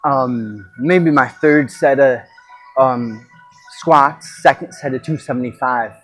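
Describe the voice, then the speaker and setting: A man speaking off the cuff as a podcast host and coach, in an emphatic, halting motivational monologue with pauses and repetition. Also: mid-range voice, a bit bright, soft background music.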